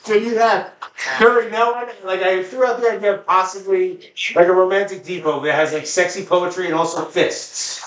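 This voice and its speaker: A man's voice: high voice, fairly even intonation, moderate pace, fairly bright tone. A man bantering casually and jokingly with friends in a living room, picked up by a camera across the room. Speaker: comedy show co-host. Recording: roomy living room echo.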